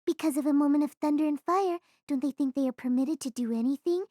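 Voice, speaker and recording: A woman's voice: high voice, fast talker, dark tone, no echo, clean studio sound, lightly acted. A woman voicing a nosy, gossiping schoolgirl who pries at a classmate with teasing questions. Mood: teasing, prying.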